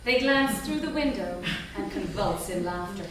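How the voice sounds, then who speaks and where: A woman's voice: medium pitch, animated, moderate pace. A woman narrating stage directions at a live staged script reading. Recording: echoey theatre, far mic, noisy.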